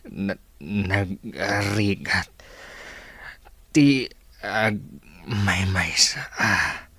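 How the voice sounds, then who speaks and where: An actor playing a weak male stroke patient with broken, breathy, stammering speech in a radio drama. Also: fairly low pitch, drawn-out words, pushed and bright, dry recording, full character voice. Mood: weak, labored. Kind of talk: Filipino radio drama acting.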